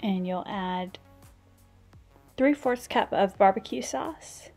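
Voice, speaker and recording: A woman's voice: medium pitch, slow pace, a little mellow, soft background music. Chatty mom narrating her cooking. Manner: chatty, practical, explains tips as she goes. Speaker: stay-at-home mom cooking vlogger. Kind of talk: casual cooking voice-over.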